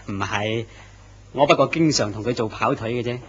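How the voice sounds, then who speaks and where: A man's voice: medium pitch, normal speed, a bit bright, close to an everyday voice. An actor playing a polite, earnest mid-level office man in a horror radio drama, courteous and sincere in conversation. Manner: courteous and earnest, turns startled when threatened. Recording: dull lo-fi recording.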